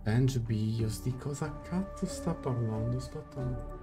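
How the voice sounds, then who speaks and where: A young man streaming a game, translating dialogue aloud in a halting, casual reaction. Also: mid-range voice, music in the background. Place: small room indoors, on webcam.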